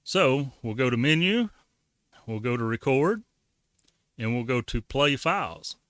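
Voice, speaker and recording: A man's voice: mid-range voice, lively intonation, measured pace, fairly bright tone, dry recording. A man giving a casual, folksy how-to walkthrough as the host of a hobby tutorial. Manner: folksy, colloquial. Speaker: ham radio tutorial host.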